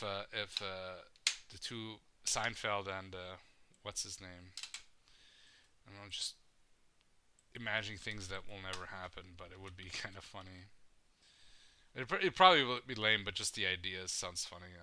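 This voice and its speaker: A man's voice: deep voice, bright, forceful tone, clear, resonant tone, no echo. A man hosting a casual podcast, rambling with lots of fillers and swearing. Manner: rambling, profane, filler-heavy. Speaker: podcast host.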